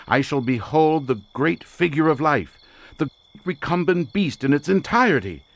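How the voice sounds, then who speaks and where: An actor playing an intense, imperious occult researcher in an audio drama, speaking in a formal, grandiloquent, theatrical manner. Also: male voice, a little high, animated, moderate pace, a bit bright. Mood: rapturous.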